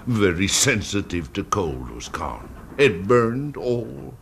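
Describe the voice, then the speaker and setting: A male actor playing a meek, bitter, grieving older blind man in a radio drama, speaking in halting phrases. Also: on the low side, very animated, gravelly, fairly bright tone, full character voice. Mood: brooding, confessional. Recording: very noisy old radio drama recording.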